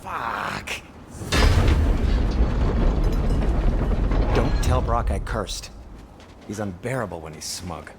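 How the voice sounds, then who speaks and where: Fretful, sarcastic game dwarf, very animated. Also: male voice, on the low side, unhurried, creaky, very noisy. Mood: flustered. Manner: nervous, dryly sarcastic. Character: anxious, fussy, sarcastic male dwarf companion. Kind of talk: video game character acting. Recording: loud game ambience behind.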